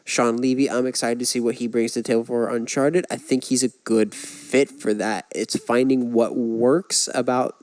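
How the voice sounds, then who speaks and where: A man's voice: medium pitch, flat delivery, normal speed, hardly any pauses, a little mellow, no room sound. Man co-hosting a casual podcast, dry sound. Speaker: podcast co-host. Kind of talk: casual film discussion.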